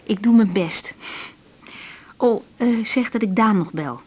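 A woman's voice: medium pitch, slow pace, darkish tone, husky. An actress playing an earnest, troubled young female doctor in a naturalistic radio drama, speaking in a direct, insistent, conversational way. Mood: busy, friendly. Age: young adult.

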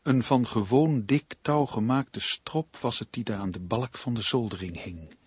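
A man's voice: mid-range voice, a little slow, darkish tone, slightly rough, no room sound. An actor playing a brooding, put-upon male writer in a Dutch radio play, in narration and dialogue. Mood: grave, ominous.

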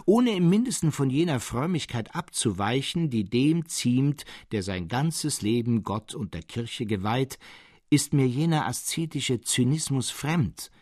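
Husky, serenely insistent mad hermit, voiced by a man. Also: mid-range voice, no echo, studio quality. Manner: calm, eloquent, insistent, with rhetorical questions. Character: serene, mad male hermit believing himself a martyred monk. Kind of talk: dramatic literary audiobook reading.

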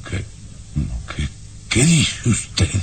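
An actor playing a puzzled, anxious baron in a vintage radio drama. He speaks haltingly and questions others. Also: slight background noise.

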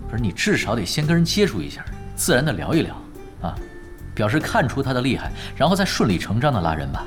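An actor playing a laid-back, glib gaming veteran who teases and coaxes in an audio drama, with mock-serious turns. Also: male voice, mid-range voice, wildly swooping pitch, fast, soft background music. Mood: teasing, persuasive. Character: laid-back teasing male gaming veteran coaching his boss. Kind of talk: Chinese audio drama acting.

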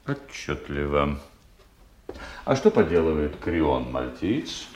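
A moralizing superior in a radio drama, speaking slowly with highly animated pitch. He is condescending and deliberate, examining his subordinate with clipped questions. The voice rings in an echoey room. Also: heavily acted. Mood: coolly examining. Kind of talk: Russian radio drama acting.

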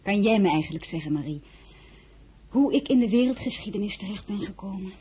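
An actress playing a dignified, quietly defiant French princess and mother in a historical radio drama, measured and often dropping to near whisper. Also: medium pitch, expressive, breathy edge. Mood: weary, reflective. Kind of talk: Dutch-language historical radio drama acting.

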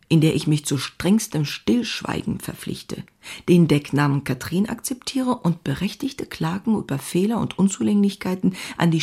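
An actress playing a passionate, restless young woman writer who confides her diary in an intimate, confessional, often breathy way. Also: deep voice, darkish tone, full character voice. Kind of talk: dramatic diary reading.

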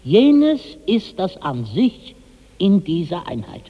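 Harried attendant for radio play: high husky voice, theatrical, coaxing, in a reverberant hall. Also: male voice, wildly swooping pitch, takes it slowly, muffled recording, heavily acted. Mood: coaxing, salesmanlike. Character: harried, eager male servant feeding his master words. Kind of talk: 1960s German radio drama acting.

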